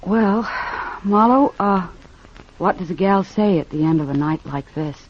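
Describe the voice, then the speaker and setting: A cool client in a radio detective drama. She is measured, probing and wryly understated. The old recording is muffled with faint noise. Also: fairly low pitch, animated. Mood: subdued, thoughtful. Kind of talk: 1940s-50s radio noir acting.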